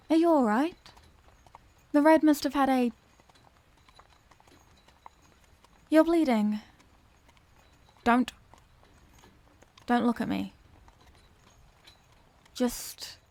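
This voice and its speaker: A woman's voice: sits high, takes it slowly, long pauses, mellow. A woman playing a refined, courteous Victorian vampire lady in an audio roleplay, speaking in formal, old-fashioned phrases. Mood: alarmed, anxious. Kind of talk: ASMR audio roleplay, scripted character acting.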